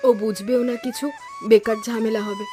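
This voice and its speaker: A woman voices a young village wife in a cartoon folk drama. She speaks in a high voice, soft-hearted but troubled, reticent and pleading, with clear music behind her. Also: steady tone, normal speed, close to an everyday voice. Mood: worried, guarded. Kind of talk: Bengali cartoon drama acting.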